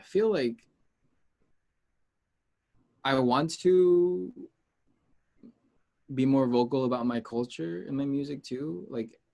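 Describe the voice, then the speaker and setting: A man from the United States chatting casually as an interview guest, informal and rambling, pausing to gather his thoughts. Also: mid-range voice, vocal fry, dark tone. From United States, Peruvian heritage. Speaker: interview guest, music artist.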